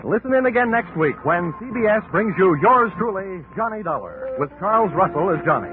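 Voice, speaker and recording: A male 1940s radio announcer with a high, very lively voice and emphatic, theatrical delivery. The old recording is thin and tinny, with music behind him.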